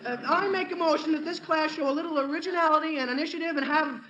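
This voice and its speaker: An actor playing an earnest, scheming, awkward teenage schoolboy in old radio comedy style, with a hesitant, stammering delivery. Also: male voice, extremely high pitch, steady tone, fast, dull audio, crowd noise. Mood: hesitant, persuasive. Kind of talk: 1940s radio comedy acting. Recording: roomy old-time radio recording, faint hiss.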